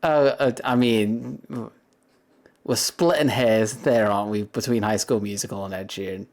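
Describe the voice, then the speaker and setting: A young man with a deep voice chatting casually with viewers on a livestream, rambling and laughing often between thoughts. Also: steady tone, measured pace, a little mellow.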